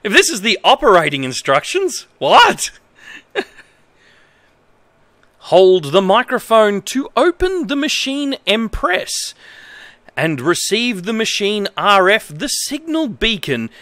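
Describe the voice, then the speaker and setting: Animated, bright-voiced Australian man streaming. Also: high-pitched, no room sound. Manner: halting, exaggerated read-aloud delivery with incredulous asides. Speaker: livestreamer. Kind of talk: reading aloud with amused livestream commentary. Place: indoor home streaming setup.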